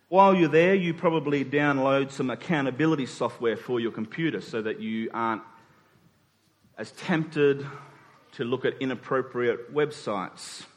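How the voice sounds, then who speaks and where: A man in his forties preaching a sermon, speaking rhetorically with emphatic points and dropped asides. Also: mid-range voice. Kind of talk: sermon to a congregation. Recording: long hall reverb.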